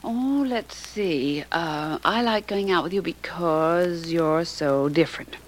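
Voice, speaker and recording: Pert, flirty companion. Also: female voice, lowish voice, slow, slight background noise. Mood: flirty, curious.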